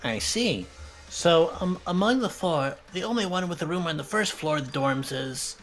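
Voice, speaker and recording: A speaker with a very lively, swinging pitch joins a group game session. The talk slips between casual questions and dialogue read aloud, with faint music behind. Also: normal speed. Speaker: player and fan dubber of a video game.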